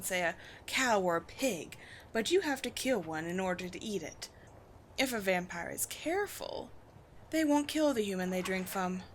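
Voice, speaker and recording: A woman playing a haughty, commanding vampire queen in a roleplay audio drama, speaking in deliberate, theatrical phrases with disdain for humans. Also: medium pitch, fairly bright tone, slight background noise. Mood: indignant.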